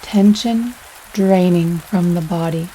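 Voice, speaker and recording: A woman guides a meditation at a very slow pace, leaving space between her phrases. Her tone is mellow and relaxed, with a soothing delivery. Steady rain sounds run behind her voice. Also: lowish voice, a little hiss or hum, rough recording. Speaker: yoga nidra guide.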